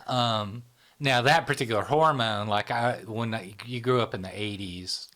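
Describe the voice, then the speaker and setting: A man's voice: lowish voice, normal speed, bright, forceful tone. A man in his fifties who hosts a casual psychology podcast chat, explaining things in small steps and checking in with "right?". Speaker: podcast host.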